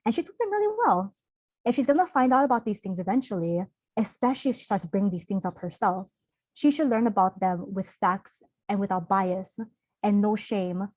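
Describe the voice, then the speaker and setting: A woman's voice: medium pitch, expressive, normal speed, a little mellow, dry recording. A young woman giving an online workshop talk over a video call, earnest and open, chatting naturally with fillers. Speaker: workshop presenter.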